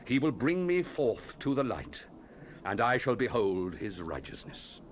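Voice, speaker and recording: An actor playing a solemn biblical prophet in a dramatized scripture recording, declaiming judgment and lament. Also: male voice, mid-range voice, sing-song, unhurried. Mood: solemn, resolute. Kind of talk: dramatized scripture reading, 1970s audio drama. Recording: hissy 1970s cassette tape.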